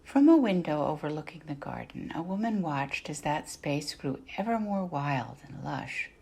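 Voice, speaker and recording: Female storybook narrator, lowish and creaky, deliberate dramatic phrasing, softly falling phrase ends. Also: expressive. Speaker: narrator, artist reading excerpts. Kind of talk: scripted storybook narration for adults.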